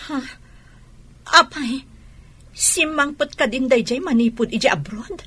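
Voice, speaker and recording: A woman playing an inquisitive female confidante in an Ilocano radio drama, with a bright, breathy voice. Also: high voice, unhurried, full character voice. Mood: surprised, curious.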